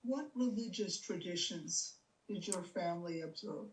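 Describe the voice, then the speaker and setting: A woman conducts an oral history interview, asking polite, measured questions. Her voice sounds distant from the microphone, with a roomy echo like a remote call. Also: lowish voice.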